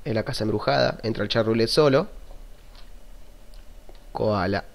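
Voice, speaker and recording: A man's voice: lowish voice, moderate pace, gravelly. Young argentine dude rambling at his webcam, swears a lot, laughs at himself, small room. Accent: Argentine Spanish. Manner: swears freely, self-mocking, jokey. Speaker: YouTuber. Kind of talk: casual webcam vlog commentary. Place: small room indoors at a desk. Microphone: computer webcam mic.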